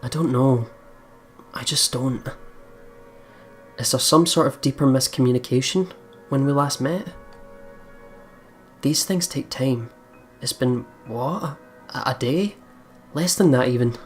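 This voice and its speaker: A man's voice: medium pitch, measured pace, pauses often, soft background music. A man hosting a let's play, commenting and reading the story text aloud. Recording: clearly noisy background.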